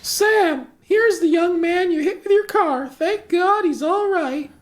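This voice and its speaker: Woman doing a cheerful fifties mom, extremely high voice, chirpy, fussy, insistent, film script read-through. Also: takes it slowly. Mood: chatty. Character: chatty, cheerful, insistent 1950s housewife and mother of forty.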